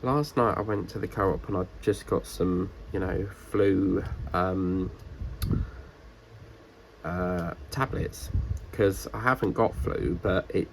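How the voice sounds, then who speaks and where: A man, a diamond painting vlogger, rambling casually with lots of fillers while his voice is croaky and congested from a cold. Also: low-pitched, background noise. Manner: rambling, chatty, many fillers and restarts. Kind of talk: casual chat while crafting.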